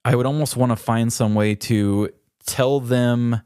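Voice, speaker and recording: A man's voice: mid-range voice, fairly even intonation, unhurried, darkish tone, clean tone, dry recording, studio quality. A man co-hosting a podcast in casual chat, with an easygoing, informal way of talking. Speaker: podcast co-host.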